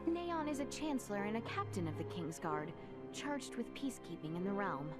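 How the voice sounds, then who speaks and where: A woman's voice: mid-range voice, very animated, moderate pace, lightly acted. An actress playing a composed, determined young noblewoman in a video game. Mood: earnest. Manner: earnest, composed. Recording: game cutscene with music behind.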